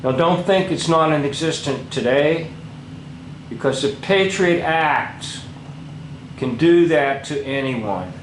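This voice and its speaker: Slow, indignant man in echoey room. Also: a little high, animated, a little hiss or hum. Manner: indignant, lecturing, deliberate. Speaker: commentator. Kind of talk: commenting over a documentary.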